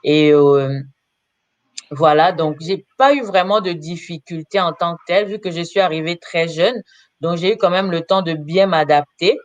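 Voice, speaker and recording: A woman in her thirties from Congo, answering interview questions about her life as a career counselor, often pausing with filler sounds. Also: fairly low pitch, no room sound, lo-fi sound. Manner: hesitant, frequent filler pauses.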